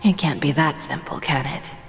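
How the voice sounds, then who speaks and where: A woman playing a poised, menacing, powerful executive in a video game, speaking in a measured, teasing and partly breathy way. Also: deep voice, steady tone. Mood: curious, probing. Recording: reverberant, with loud music behind.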